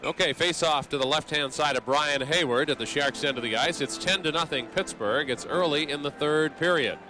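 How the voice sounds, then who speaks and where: A man's voice: a little high, moderate pace, bright, forceful tone, rough recording. A man doing live radio play-by-play of a hockey game, wordy and wry, slipping conversational asides between the calls. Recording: live arena broadcast with background noise.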